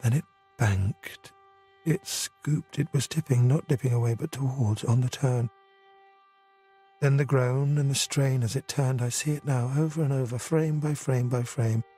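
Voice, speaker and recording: Man, dramatic poetry reading, clipped intense phrasing, hushed, mid pitch, music underneath. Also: steady tone, a little slow, slightly rough. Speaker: reader.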